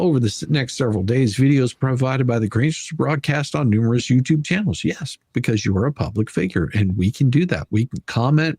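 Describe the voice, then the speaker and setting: A man hosting a live stream, giving emphatic, argumentative commentary with a husky voice and reading passages from a document aloud. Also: fairly low pitch, a little mellow, no room sound, studio quality.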